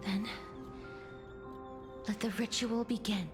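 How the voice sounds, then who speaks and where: An actress playing a gentle, solemn young woman in a video game, speaking in a soft, breathy, measured and formal way. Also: mid-range voice, steady tone, close to an everyday voice. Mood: solemn tender. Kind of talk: video game story dialogue. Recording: background music under the voice. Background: game music.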